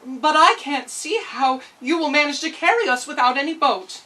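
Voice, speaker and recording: A woman's voice: high-pitched, moderate pace, pushed and bright, distant mic, pitched above the performer's own voice, poor audio. A woman voicing a plucky, polite little girl in a storybook reading. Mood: hesitant.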